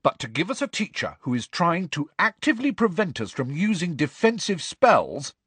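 A man voicing a pompous, self-important teenage boy who declaims loudly. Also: fairly high pitch, sing-song, moderate pace, husky, dry recording, pitched above the performer's own voice, heavily acted.

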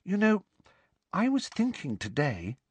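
An earnest, know-it-all schoolgirl pressing her point eagerly, as a man puts her on. The voice is lifted high and swings widely, with a slightly husky texture. It is recorded close and dry. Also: wildly swooping pitch, measured pace, no room sound, exaggerated voice. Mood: fervent. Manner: eager and insistent. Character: earnest, bossy clever teenage girl.

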